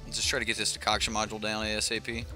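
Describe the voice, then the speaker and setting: A man's voice: on the low side, monotone, racing, bright, forceful tone, soft background music. A young man casually commentating his own card game on a live stream, thinking aloud with lots of fillers and restarts.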